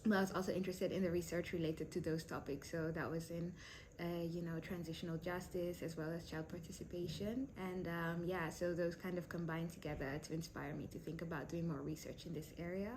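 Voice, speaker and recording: Thoughtful young woman, lowish voice. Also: steady tone, a little fast, noisy background. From South Sudan, raised in Kenya. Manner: thoughtful, many fillers and restarts. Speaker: PhD candidate. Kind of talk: interview answers.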